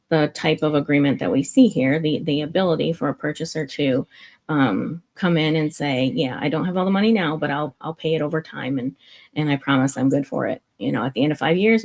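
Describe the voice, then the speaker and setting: Nasal, gravelly-voiced woman explaining. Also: low-pitched, a little mellow. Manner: explains step by step, many fillers and restarts. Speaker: commentary streamer. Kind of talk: casual live-stream commentary. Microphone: streaming setup mic.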